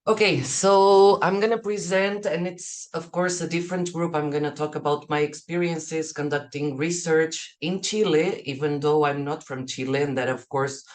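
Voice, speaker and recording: A woman's voice: sits low, fairly even intonation, moderate pace, few pauses, darkish tone. A woman with a Portuguese accent speaks as an academic researcher presenting over a video call. Her delivery is reflective, and she often tags her points with a questioning "right?".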